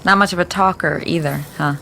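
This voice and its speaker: An actress playing a lonely, forthright mourner in a fiction podcast, with a gravelly fry and a terse, casual manner. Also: female voice, a little fast, pushed and bright, slight background noise. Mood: dry, casual. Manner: casual, low-key, terse. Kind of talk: naturalistic fiction podcast acting.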